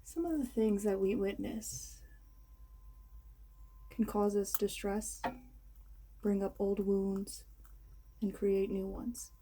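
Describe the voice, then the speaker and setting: Slow, mellow woman guiding meditation. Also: mid-range voice, steady tone, husky, poor audio. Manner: ceremonial, measured, soothing. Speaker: guided meditation leader. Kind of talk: slow guided meditation, ASMR.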